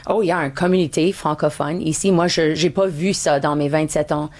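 Anglophone woman comedian in French, lowish voice. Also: fairly even intonation, a little fast, darkish tone. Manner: second-language French, mixes in English words, frequent restarts. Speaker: comedian guest. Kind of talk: casual interview chat.

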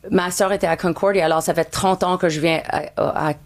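Anglophone woman comedian in French, lowish voice. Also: fairly even intonation, fairly quick. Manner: second-language French, mixes in English words, frequent restarts. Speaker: comedian guest. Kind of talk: casual interview chat.

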